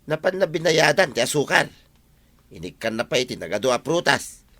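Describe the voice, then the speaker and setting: A man's voice: mid-range voice, sing-song, fairly quick, fairly bright tone. A man with an Ilocano accent playing a folksy, chatty village husband in a radio comedy drama. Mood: confiding.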